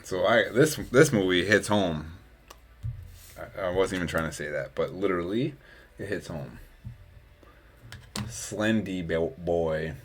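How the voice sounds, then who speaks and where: A man's voice: low-pitched, a little slow, long pauses. A young man from Wisconsin, chatting casually on a live stream, rambling and laughing as his volume rises and drops. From Wisconsin, USA. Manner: rambling, laughs often, swings from loud to mumbled.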